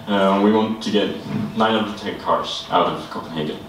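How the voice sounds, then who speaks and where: Very low-voiced man presenting in a hall. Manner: halting, frequent "uh" and "um". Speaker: student presenter. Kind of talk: group design presentation. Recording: echoey room, distant mic, noise.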